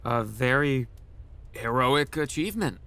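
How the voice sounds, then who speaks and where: A man's voice: mid-range voice, expressive, measured pace, vocal fry, pushed and bright, dry recording, heavily acted. A man playing an earnest, admiring young knight trainee in an audio drama. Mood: curious.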